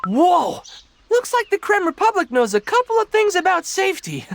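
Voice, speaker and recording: A woman's voice: very high-pitched, big pitch swings, moderate pace, slight background noise, heavily acted. A woman voicing a small, eager young gingerbread boy in a cartoon game, boyish and exclamatory. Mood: excited. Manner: exclamatory, wide-eyed, boyish.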